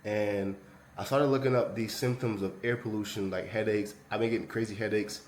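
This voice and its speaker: A young man talking casually to camera in a vlog, relaxed and slangy. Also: fairly low pitch, fairly quick, slight background noise. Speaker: vlogger.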